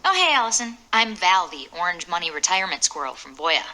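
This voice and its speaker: A chirpy advertising squirrel, eager and cheerful. The voice is bright and swings in pitch, in the manner of a TV commercial character. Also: female voice, very animated, fast talker, rough recording. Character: chirpy female cartoon squirrel spokesperson for retirement saving.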